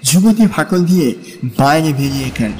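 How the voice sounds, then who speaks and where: A man narrating a dramatized audio story with measured, suspenseful phrasing. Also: on the high side, animated, a little mellow, slight background noise.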